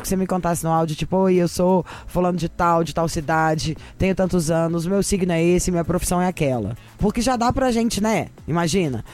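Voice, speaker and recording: A woman hosting a radio advice show, with sassy, gossipy, animated chat. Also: fairly low pitch. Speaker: radio host.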